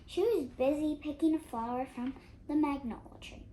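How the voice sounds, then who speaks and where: High-pitched little girl reading aloud. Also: warm tone. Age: child of 8. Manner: careful, halting reading with character voices. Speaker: young reader. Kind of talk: expressive storybook read-aloud. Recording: noisy home recording.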